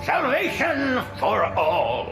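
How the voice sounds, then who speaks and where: A sanctimonious old alien priest preaches in a reedy high voice full of swoops. He is florid and reverent, a game villain in an echoing space over music. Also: huge pitch swings, slow, creaky, full character voice. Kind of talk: cinematic video game acting. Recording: echoey hall, film score behind.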